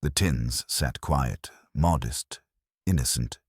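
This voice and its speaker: Very deep-voiced man narrating detective fiction. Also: takes it slowly, husky, dry recording, clean studio sound. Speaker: audiobook narrator. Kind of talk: scripted first-person detective story narration.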